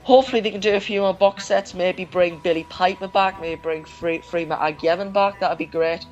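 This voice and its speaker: A man's voice: sits high, fairly even intonation, a little fast, lo-fi sound, soft background music. A young man giving his opinions in a casual fan discussion. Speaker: podcast co-host or guest.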